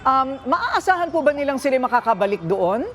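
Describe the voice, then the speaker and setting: A woman's voice: sits high, very animated, fairly quick, fairly bright tone, slight background noise. A Filipino woman working as a news anchor, politely putting questions to a guest in a broadcast interview.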